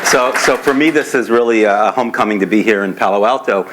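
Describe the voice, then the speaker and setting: A man in his sixties from California telling his story on a conference panel in a fluent, measured way. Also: fairly low pitch, a bit bright, a faint crowd, slight background noise, poor audio. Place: conference hall.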